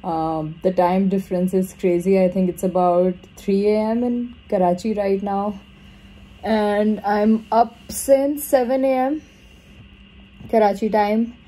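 A woman's voice: medium pitch, steady tone, unhurried, mellow, low-quality recording. Chatty Pakistani woman vlogging on a phone. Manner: chatty, addresses viewers directly. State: tired after long travel. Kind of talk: casual travel vlog. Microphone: phone camera at arm's length.

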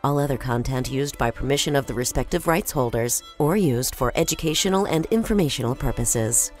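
A woman's voice: very deep voice, fairly quick, a little mellow, soft background music. A woman announcer reading a polite, scripted closing notice.

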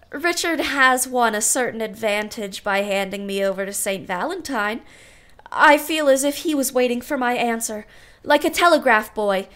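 A genteel aunt in a radio play, acted by a woman, with a fairly high voice. She questions herself in old-fashioned phrases, wry and easily flustered as she wavers over romance. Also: fairly bright tone. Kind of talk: radio play acting, musing monologue.

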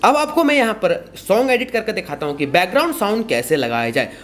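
A man's voice: high-pitched, big pitch swings, fast talker, fairly bright tone, a little hiss or hum. A young man giving a casual, repetitive step-by-step tutorial. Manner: repetitive, explanatory. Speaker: tutorial host.

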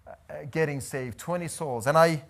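A man's voice: fairly high pitch, moderate pace. Man preaching a testimony. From Iran. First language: Azeri. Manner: animated storytelling, gesturing while pacing. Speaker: missionary preacher. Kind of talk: missionary testimony in church. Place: church hall, indoors.

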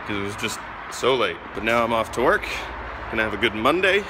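A man's voice: on the low side, very animated, fairly quick, noisy background. A man in his twenties with an American accent, chatting in an upbeat, casual way for a video diary. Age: 20s. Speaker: vlogger.

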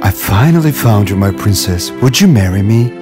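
A woman voicing a deep-voiced prince. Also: expressive, normal speed. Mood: tender. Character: young male prince and his soldiers. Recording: narration over background music.

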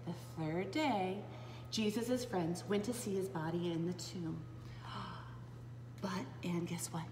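A woman in her thirties reading a children's Bible story aloud to preschoolers in an animated, dramatic way. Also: mid-range voice. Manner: animated and dramatic, with gasps, hushed asides and questions to young listeners. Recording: roomy, echoing space with clear background noise.

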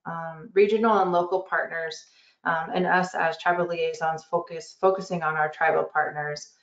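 A woman's voice: on the low side, dull audio. Woman presenting on a roomy video call. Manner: halting, many ums. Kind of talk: webinar presentation.

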